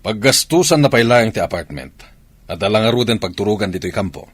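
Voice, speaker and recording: A man's voice: fairly low pitch, animated, fairly quick, slightly rough, no room sound. A man acting a military commander and family man in an Ilocano radio drama. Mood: stern, commanding. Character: military camp commander, a husband and father.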